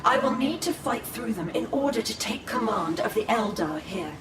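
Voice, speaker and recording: A woman playing a stern, calculating alien elf seer and commander in a video game, speaking in a formal, measured, resolute way. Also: on the high side, lively intonation, noisy background, close to an everyday voice. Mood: resolute, assessing. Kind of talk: video game character acting. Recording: echoing, distant voice under music.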